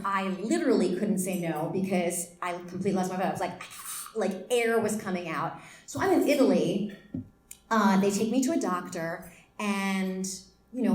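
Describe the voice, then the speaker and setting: A woman in her forties giving a keynote speech to students, telling stories in a lively, self-deprecating way and laughing often. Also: lowish voice, echoey room. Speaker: keynote speaker. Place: school event hall.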